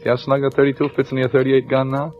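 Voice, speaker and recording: An actor playing a shrewd, weary police lieutenant in an old-time radio crime drama, with clipped colloquial delivery. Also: male voice, mid-range voice, monotone, fast, lightly acted. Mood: triumphant, pressing. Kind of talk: old-time radio crime drama acting, first-person narration and dialogue. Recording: dull vintage radio recording with music.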